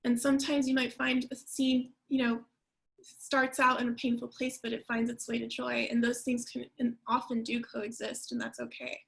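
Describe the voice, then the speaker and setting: A woman author speaking earnestly and thoughtfully on a panel, often halting as she reflects. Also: fairly high pitch, level tone, vocal fry, rough recording. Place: online video event.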